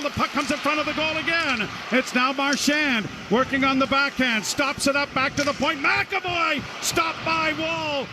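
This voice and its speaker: A man calling hockey live on the radio as play-by-play announcer, in an excitable, clipped running style. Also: very high voice. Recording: noisy radio broadcast, arena noise.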